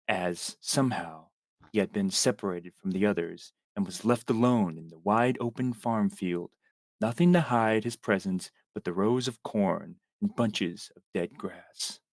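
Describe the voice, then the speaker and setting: A man's voice: fairly low pitch, moderate pace, no room sound, studio quality. A man reading a piece of fan fiction aloud to friends on a livestream, theatrically, now and then stumbling over words.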